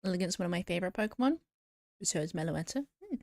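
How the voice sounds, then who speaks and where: A woman's voice: lowish voice, very animated, fast, vocal fry, a little mellow, no echo. Giggly woman streamer, dry close sound. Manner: chatty, giggly, answering chat. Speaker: VTuber streamer. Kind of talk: casual chat with viewers while drawing.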